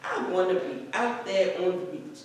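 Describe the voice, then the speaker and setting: A woman playing a proud, loving mother, slow and folksy in a staged play reading. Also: lowish voice, lightly acted. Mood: tender, proud. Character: proud, loving Southern mother reading her letter aloud. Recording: very echoey room, distant mic, faint noise.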